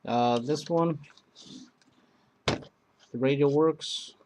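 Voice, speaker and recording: A man hosting a casual, unscripted product review, speaking in a halting, rambling way that often circles back on itself. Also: on the high side, darkish tone, slight background noise. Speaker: video host reviewing gear.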